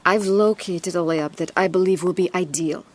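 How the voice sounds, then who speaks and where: A woman's voice: lowish voice, normal speed, close to an everyday voice. An actress playing a confident, persuasive woman in an audio drama, reassuring and insistent. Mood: coolly confident. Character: persuasive, coolly confident woman pushing scientific conception.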